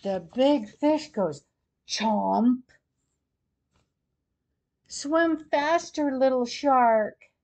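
A woman in her sixties reading a children's storybook aloud in a deliberate, expressive way for young listeners. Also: sits high. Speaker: grandmother host reading picture books to children. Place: small plain room at home, seated in armchair.

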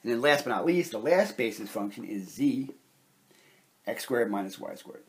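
A man with a slightly husky voice giving a methodical, step-by-step explanation as a science instructor at a whiteboard. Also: medium pitch, animated, moderate pace. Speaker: chemistry instructor.

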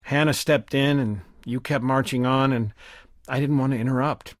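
A man playing a gentle, regretful middle-aged father in a video game, speaking in a reflective, conversational way. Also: medium pitch, steady tone, normal speed, no echo, studio quality, close to an everyday voice. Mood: tender, wry.

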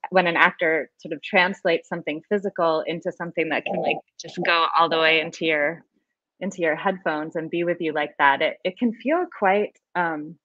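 A woman's voice: on the low side, a bit bright, no echo. A woman in casual panel conversation as a theatre artist, recorded on a slightly dull video call in a book-lined room.

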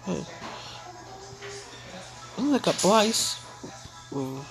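A woman's voice: lowish voice, big pitch swings, very slow, over music, low-quality recording. A woman improvising a cartoon story, rambling playfully and switching into character voices. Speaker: hobby animator narrating and voicing characters. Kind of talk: improvised cartoon play-along narration.

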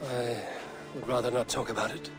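A grim Viking warrior, acted by a man speaks with gravel and weight. The delivery is solemn, measured and forceful, honour-bound and weary, in dramatic game style with loud music underneath. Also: mid-range voice, flat delivery, natural voice. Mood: subdued. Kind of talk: dramatic video game acting.

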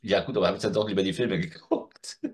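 Middle-aged male reviewer, lowish pitch, opinionated and blunt, casual video-chat delivery. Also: steady tone, fast. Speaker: audio drama reviewer, guest. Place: home room with media shelves. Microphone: video call.

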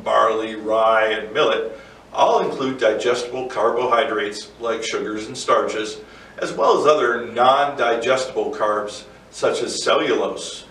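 A man in his forties reads a prepared explainer in a calm, measured way, his voice sitting fairly low. He sounds a little far from the mic, with a roomy echo and a faint hiss behind him. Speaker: co-host. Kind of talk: scripted educational explainer. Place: indoor studio set with barn-wood backdrop.

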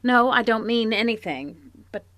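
An actress playing a farm wife who gives guarded, pointed replies in a live radio play, recorded at home over a video call. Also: female voice, a little high, animated, normal speed, fairly bright tone, dry recording. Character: sharp, loyal farm wife defending a neighbor. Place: home closet or desk. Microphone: home mic over video call.

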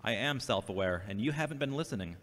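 A man's voice: medium pitch, lively intonation, fast talker, fairly bright tone, close to an everyday voice. An actor playing an earnest, defensive, self-doubting man recovering from a brain injury in a scripted audio drama. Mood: downcast, self-critical. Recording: long hall-like reverb.

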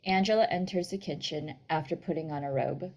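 A woman's voice: low-pitched, fairly quick, darkish tone. A young woman reading screenplay stage directions as narrator over a video call. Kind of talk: scripted narration, screenplay table read. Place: indoor room on a video call. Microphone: video call microphone.

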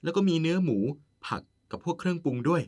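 A man's voice: on the high side, steady tone, fast, a little mellow, lightly acted. A man playing a caring, nagging, playful partner of an older man in an audio drama, polite yet chiding. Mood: chatty. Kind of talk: Thai audio drama acting.

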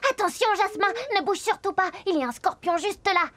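High-voiced, earnest young boy meerkat. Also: fairly quick, a bit bright. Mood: alarmed. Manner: earnest, explanatory. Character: cautious, friendly, proud young male meerkat. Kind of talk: preschool cartoon dialogue.

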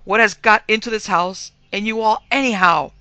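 A man voicing a gruff, short-tempered middle-aged father in an audiobook, loud, emphatic and impatient. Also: fairly high pitch, moderate pace, no echo. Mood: angry. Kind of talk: audiobook character acting.

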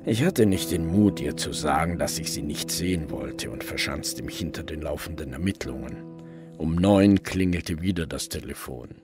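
An actor playing a weary, awkward middle-aged police detective who narrates and speaks in an audio drama. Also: male voice, rumbling low, moderate pace, over music. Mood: reflective.

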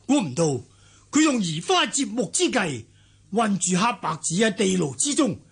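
An actor with a Hong Kong Cantonese accent playing an anxious, deferential old manor master in a radio drama, husky, hesitant and apologetic. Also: male voice, sits high, very animated, moderate pace, exaggerated voice.

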